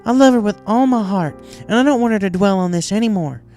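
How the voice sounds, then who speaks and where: A woman voicing a blunt, protective female farm pony with a folksy, forceful, exasperated manner in a story reading. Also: mid-range voice, quick pace, faint music behind, pitched above the performer's own voice, natural voice. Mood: firm, upset. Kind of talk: dramatized fan fiction reading.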